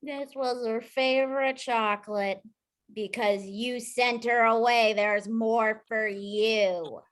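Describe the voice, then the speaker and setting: A woman playing an earnest, excitable young boy explorer in a cartoon script read, pleading and childlike. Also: fairly high pitch, takes it slowly. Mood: sulky. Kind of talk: amateur table read of an animated film script.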